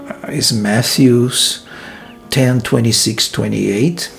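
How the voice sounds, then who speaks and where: Man for a reflective vlog, gravelly, unhurried delivery, soft music underneath. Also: mid-range voice. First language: Portuguese. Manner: thoughtful, hesitant, many pauses and fillers. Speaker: filmmaker and vlog host. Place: his room in a monastery.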